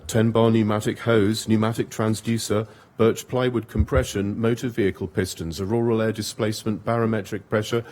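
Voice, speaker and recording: A man giving an academic lecture, reading long lists in a steady rhythm with occasional small stumbles. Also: medium pitch. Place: lecture hall.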